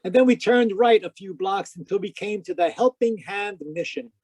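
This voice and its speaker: A man plays a hard-boiled private detective in a radio mystery. His voice is high for a man, wry and deadpan, recorded close and dry. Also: moderate pace, breathy edge, dry recording. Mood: wry narration. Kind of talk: old-time radio detective drama and narration. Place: live online performance over video call.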